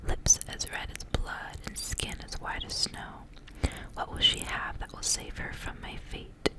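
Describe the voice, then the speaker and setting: A young woman speaking in a soft, breathy whisper as an ASMR creator. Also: low-quality recording. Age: young adult. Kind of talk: whispered ASMR, casual rambling and story reading.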